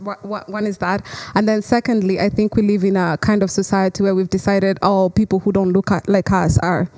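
A woman's voice: mid-range voice, flat delivery, brisk, darkish tone, no room sound. A young woman from Kenya, interviewed on a church stage, speaking thoughtfully into a handheld microphone with fillers and restarts. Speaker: campus director, guest. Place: church stage, seated on stool.

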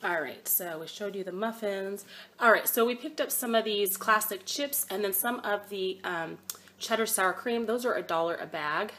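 A woman in her thirties, hoarse from a cold, chatting casually through a grocery haul at home with many ums. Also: medium pitch, moderate pace, bright, forceful tone. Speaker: vlogger. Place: at home, by a table.

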